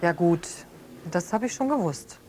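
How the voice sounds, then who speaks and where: A woman's voice: lowish voice, animated, a little mellow, lightly acted. A woman playing a reflective close friend being questioned in a naturalistic radio drama, thinking aloud and searching for words. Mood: earnest, troubled. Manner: thoughtful, searching for words, halting. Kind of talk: improvised naturalistic interview drama.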